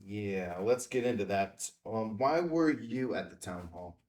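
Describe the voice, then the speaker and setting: A man's voice: fairly low pitch, measured pace, darkish tone, close to an everyday voice. A man playing a businesslike investigator who asks direct, probing questions in a table read.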